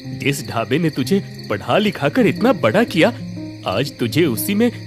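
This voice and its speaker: A man playing a stern, proud middle-aged father who runs a village eatery in a cartoon story, gruff and scolding. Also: on the high side, sing-song, a little slow. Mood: angry. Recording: music under the voice.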